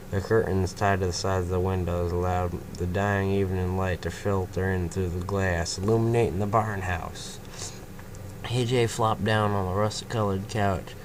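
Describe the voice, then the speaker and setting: Male story reader, casual, low-pitched, clear background hiss. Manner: casual, breaks off to laugh and comment. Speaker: fanfiction reader. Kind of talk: first-person story reading.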